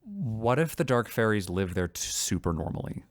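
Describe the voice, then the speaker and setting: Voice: medium pitch, big pitch swings, normal speed, no echo, clean studio sound. A podcast co-host in a casual creative brainstorming chat, talking enthusiastically and often doubling back on words.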